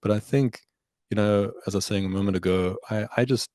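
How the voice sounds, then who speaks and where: A middle-aged musician, a man, talking as a guest in a relaxed interview, reflective and measured, thanking his host warmly. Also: fairly low pitch, no room sound. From South Africa. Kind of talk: casual interview chat.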